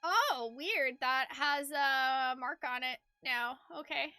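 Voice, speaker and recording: A woman's voice: high voice, takes it slowly, sharp tone, clear, resonant tone, no echo, clean studio sound, faint music behind. A woman chatting casually on a game livestream, rambling and hesitant in an informal way. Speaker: streamer doing a game playthrough.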